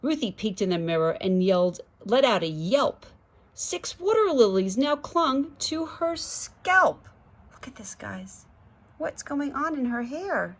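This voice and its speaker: A woman's voice: fairly high pitch, very animated, unhurried. A woman reading a rhyming children's story aloud expressively, with chatty asides to young listeners. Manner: rhythmic, dramatic, addressing children. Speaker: guest storybook reader.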